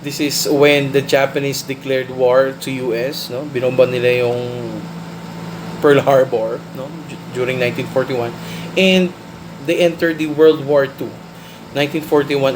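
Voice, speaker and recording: A man's voice: medium pitch, background noise. A man with a Filipino accent giving a recorded class lecture, often mixing in Tagalog and ending points with "no?". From Philippines. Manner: switches into Tagalog, tags statements with "no?". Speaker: teacher.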